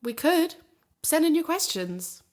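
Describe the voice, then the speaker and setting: A woman's voice: sits high, big pitch swings, measured pace. Woman playing an upbeat amateur sleuth. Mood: light, playful. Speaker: podcast co-host in an audio drama. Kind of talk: conversational audio drama acting.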